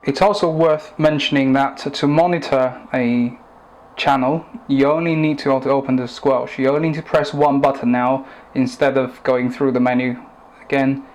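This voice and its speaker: A man giving a product review and demonstration in a measured, plain-spoken, instructive way. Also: medium pitch, steady tone, darkish tone.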